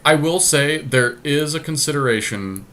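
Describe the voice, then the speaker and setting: A man's voice: fairly high pitch, expressive, normal speed, sharp tone. A man talking casually through card game deck choices, deliberating and reasoning aloud as he goes. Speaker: podcast host drafting a card game deck.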